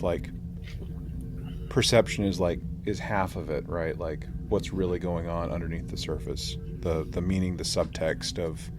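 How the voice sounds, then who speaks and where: Halting, low-voiced man podcasting. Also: background noise. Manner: halting, tentative explanations. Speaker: podcast co-host. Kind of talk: casual podcast discussion.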